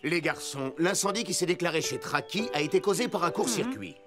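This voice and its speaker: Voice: mid-range voice, lively intonation, a little fast, close to an everyday voice. Acteur jouant un secouriste sérieux, intonation très vivante. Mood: earnest warning. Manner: didactic, clearly cautioning. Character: earnest, caring adult rescuer teaching fire safety. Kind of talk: French children's cartoon dub, instructional. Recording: loud music behind.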